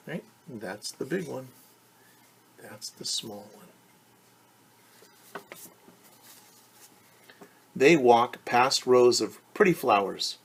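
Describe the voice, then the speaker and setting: A man's voice: mid-range voice, lively intonation, drawn-out words, creaky, pauses often, slight background noise. A man teaching young children, reading a picture book aloud and patiently explaining it in simple terms with questions.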